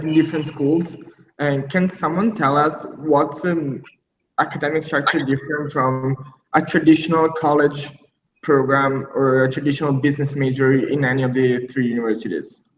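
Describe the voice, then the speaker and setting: Male host, halting moderator; distant, roomy, muffled recording with faint background noise. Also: fairly high pitch, fairly even intonation. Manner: halting, with restarts. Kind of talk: moderating a video call panel. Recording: roomy, distant, dull mic sound.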